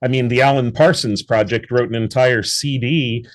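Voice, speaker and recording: A man's voice: medium pitch, steady tone, moderate pace. Rambling man with restarts. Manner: rambling, many restarts and fillers. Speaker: theatre founder guest. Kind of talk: casual interview chat.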